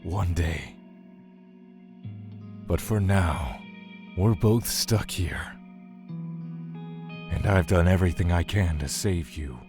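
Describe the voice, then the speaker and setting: An actor playing a bitter, grieving immortal spirit, speaking in a brooding, solemn way in an audio drama monologue. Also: male voice, low voice, takes it slowly, pauses often, music in the background. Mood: solemn, weary.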